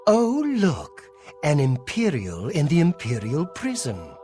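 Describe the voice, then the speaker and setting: A man's voice: a little high, wildly swooping pitch, takes it slowly, mellow, soft background music, full character voice. A man playing a spiteful prisoner in a video game, taunting a fellow inmate in a mocking, theatrical sneer. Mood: mocking, gloating. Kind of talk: video game character acting.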